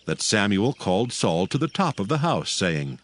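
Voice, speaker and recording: A man's voice: fairly low pitch, big pitch swings, normal speed. A man narrating a dramatized Bible audiobook in a formal storytelling delivery. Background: background music.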